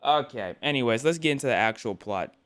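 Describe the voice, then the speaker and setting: A man's voice: medium pitch, expressive, fairly quick, a bit bright. A tired young man live-streaming late at night, rambling and joking with his chat, often repeating and restarting his words. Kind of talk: casual live-stream chatter.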